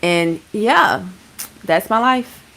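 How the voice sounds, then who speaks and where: A woman in her thirties from Georgia, hosting a casual livestream and chatting with viewers. She is laid-back, swears freely and keeps giving shout-outs. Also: mid-range voice, animated, drawn-out words. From Georgia, USA. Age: 30s. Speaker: livestream host.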